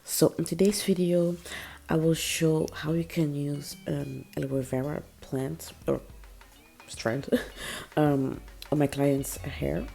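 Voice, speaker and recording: Low husky-voiced woman with a cold. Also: level tone, slow pace, dark tone, faint music behind. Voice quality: naturally low voice. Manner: chatty, many ums and likes, small laughs. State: sick, voice even lower than usual. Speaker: natural hair vlogger. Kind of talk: casual how-to vlog.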